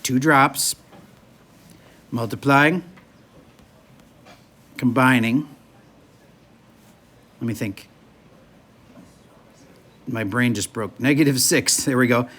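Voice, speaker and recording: A man's voice: medium pitch, slow, long pauses, a bit bright, slightly rough. A male math teacher lecturing a classroom in a chatty, joking way while losing his voice. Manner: chatty, jokey, moves around the room.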